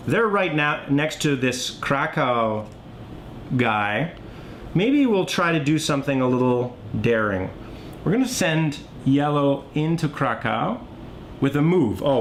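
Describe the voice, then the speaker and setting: A man giving a casual, unscripted board game tutorial, thinking aloud as he demonstrates the moves. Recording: clearly noisy, low rumble.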